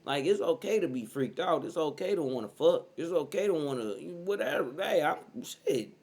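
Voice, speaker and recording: A man's voice: fairly high pitch, expressive, moderate pace, a little mellow. A man in his early thirties giving blunt, profane reaction commentary as host, keeps saying "bro". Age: 30s.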